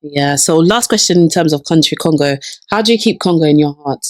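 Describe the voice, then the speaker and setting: A woman's voice: low voice, brisk, darkish tone, dry recording, clean studio sound. A woman, hosting a podcast in a chatty, playful way with lots of encouraging "mm"s. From United Kingdom. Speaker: podcast host. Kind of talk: casual interview chat.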